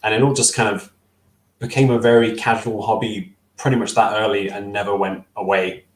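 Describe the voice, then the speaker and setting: A man's voice: lowish voice, little pitch movement, fairly quick, echoey room, low-quality recording. A young man on a video call with a headset, answering interview questions casually, thoughtful and hesitant with many fillers and restarts. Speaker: stop-motion filmmaker guest. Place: small room at home.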